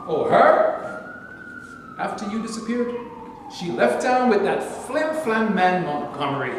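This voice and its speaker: Man acting a high-voiced blunt detective, echoey hall. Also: very animated, measured pace. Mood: blunt, needling. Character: blunt, needling small-town police detective. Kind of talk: staged reading of a stage play. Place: hall at a staged reading. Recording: very echoey hall, distant mic, clear noise.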